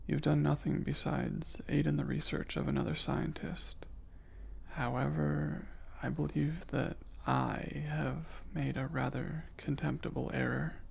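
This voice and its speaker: A man performs a curious alien scientist with cool emotional reserve in an intimate ASMR audio drama. The voice is fairly high for a male, heavily creaky and breathy, often close to a whisper. Phrasing is unhurried, formal and precise, with hesitant pauses. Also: little pitch movement, darkish tone, full character voice. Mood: apologetic, formal. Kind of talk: scripted ASMR roleplay, intimate.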